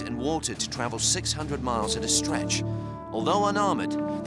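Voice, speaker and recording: A man's voice: mid-range voice, close to an everyday voice. An actor playing a seasoned British commando, narrating his war in a dry, wry way for a video game. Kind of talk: scripted first-person game narration. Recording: loud music under narration.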